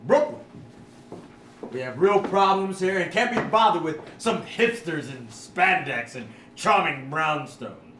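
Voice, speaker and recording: Man playing blustering minister, swooping pitch. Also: animated, a little slow. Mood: dismissive. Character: blustering, dismissive male human government minister. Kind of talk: staged reading of a comic sci-fi script. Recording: distant, echoey live room with faint noise.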